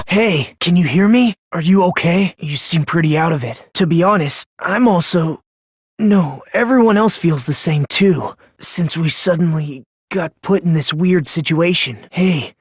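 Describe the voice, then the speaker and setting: Breathy young anime game guy, creepy polite, puts himself down, hope obsessed, theatrical, dry close recording. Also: mid-range voice, no echo. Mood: casual, gentle. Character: eerily polite young man, self-deprecating, obsessed with hope. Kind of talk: anime-style video game voice acting.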